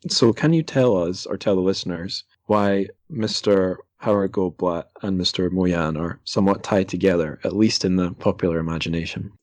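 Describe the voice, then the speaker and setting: A man's voice: low-pitched, moderate pace, few pauses, a little mellow, no room sound. A man hosting a podcast, talking in a casual, conversational interview style with an informal, somewhat hesitant manner. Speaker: podcast host.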